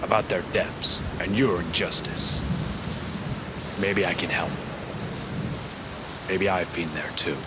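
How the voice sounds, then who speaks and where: A man's voice: low-pitched, takes it slowly, long pauses, heavy background noise. A man acting a stern, weary masked vigilante in an amateur radio drama, grave and emphatic. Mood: grave, pleading. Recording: loud music bed over dialogue. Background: loud music, rain and thunder.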